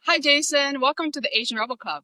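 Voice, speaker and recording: A woman's voice: high voice, quick pace, sharp tone. A woman from China hosting an interview podcast, moving between scripted introduction and conversational questions. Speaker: podcast host.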